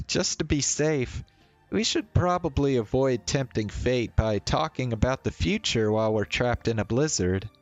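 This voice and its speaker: A man's voice: mid-range voice, unhurried, dry recording, dull audio, soft background music, voice pushed up, lightly acted. A man voicing a friendly, teasing young woman in a visual novel read-aloud, with a playful lilt. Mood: caring.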